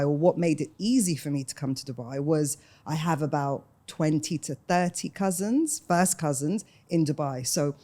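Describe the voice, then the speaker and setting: A woman in her forties speaks with a London accent. Her voice sits low and soft-edged, with a dark, mellow tone. She talks in a casual, chatty way, telling personal stories as an interview guest. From London, UK, of Sudanese parents. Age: 40s. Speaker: podcast guest.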